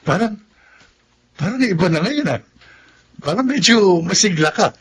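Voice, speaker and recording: An actor with a husky voice and Filipino accent playing a friendly young man who is a grateful best friend, in a radio drama. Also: sits high, lively intonation, slow, faint music behind, full character voice. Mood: surprised, amused. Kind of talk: Tagalog radio drama acting.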